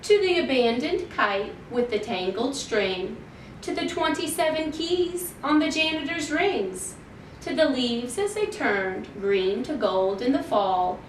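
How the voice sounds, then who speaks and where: A woman reading a rhyming picture book aloud to young children in a measured, deliberate storytelling manner. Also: animated. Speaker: children's library storytime reader. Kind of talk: expressive picture-book read-aloud in rhyme. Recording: roomy, distant mic, faint noise and rumble.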